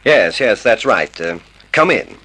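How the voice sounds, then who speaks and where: A lively, scheming low-voiced con man. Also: full character voice. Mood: falsely courteous. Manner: measured, falsely reassuring. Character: smooth scheming male con man posing as private detective. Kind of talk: old-time radio drama acting. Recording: vintage radio drama recording.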